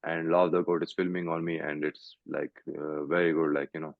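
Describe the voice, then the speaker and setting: A young Pakistani man, a fighter, answering interview questions over a video call in halting English full of "like" and "you know". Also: very deep voice, flat delivery, gravelly, no echo. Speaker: guest fighter. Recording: tinny call audio, dry.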